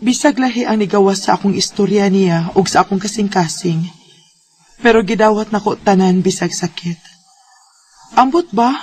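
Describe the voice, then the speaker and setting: A woman acting a gentle, heartbroken young woman in a Bisaya radio drama, with a Filipino Bisaya accent. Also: medium pitch, normal speed, natural voice. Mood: wistful, heartbroken. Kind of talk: radio drama acting and first-person narration. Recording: radio drama with music underneath.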